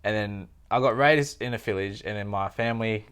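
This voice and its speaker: A man's voice: lowish voice, a little fast, a bit bright, smooth. A young man from Thailand, a podcast guest, talking in a laid-back, slangy way. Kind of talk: casual interview storytelling.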